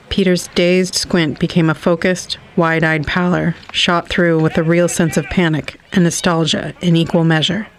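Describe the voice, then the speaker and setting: A man reading literary scripted narration for an audio story in a measured storytelling manner. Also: a little high, creaky, low-quality recording.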